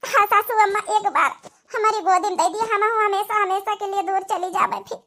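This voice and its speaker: A woman's voice: extremely high pitch, fairly even intonation, brisk, a bit bright. A woman voicing a remorseful young daughter-in-law in a cartoon melodrama, pleading tearfully with an Awadhi accent. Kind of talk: cartoon family melodrama acting.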